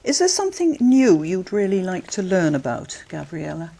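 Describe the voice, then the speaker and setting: A woman running a speaking exam, who reads out prompts in a measured, clear way and gives brief acknowledgements between answers. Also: on the low side, sing-song, dark tone. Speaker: examiner. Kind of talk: scripted exam questions.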